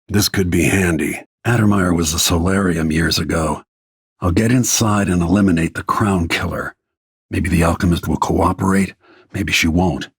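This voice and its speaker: A grim middle-aged assassin from a video game. His voice is very husky and rasping, sitting low, with terse, restrained delivery. He sounds world-weary and brooding, recorded close and dry. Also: no echo, full character voice. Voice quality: gravelly, husky. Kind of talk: scripted video game voice lines.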